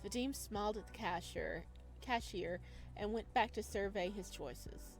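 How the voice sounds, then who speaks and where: A woman reading a visual novel aloud as a let's player, mixing expressive narration with casual reactions. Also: medium pitch, measured pace, soft background music, rough recording.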